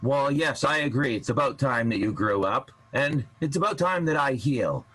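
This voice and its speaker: An actor playing a wounded, grudging schemer with a husky, halting voice in a radio comedy. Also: male voice, mid-range voice, expressive, fairly bright tone, soft background music, poor audio. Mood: grudging, resolved. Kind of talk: improvised radio comedy acting.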